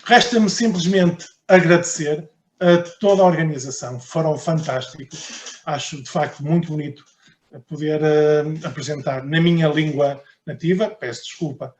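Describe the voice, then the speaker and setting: Researcher, male, European Portuguese accent, slightly high voice, hesitant, roomy sound. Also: normal speed, a little mellow, lo-fi sound, a little hiss or hum. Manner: hesitant, repeats words while thinking. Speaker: researcher giving a conference talk. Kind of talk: conference presentation and Q&A.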